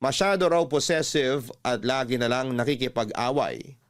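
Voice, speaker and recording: A man's voice: mid-range voice, normal speed. A man with a Filipino accent, a radio host reading a first-person love story as dramatic narration. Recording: music bed under the voice.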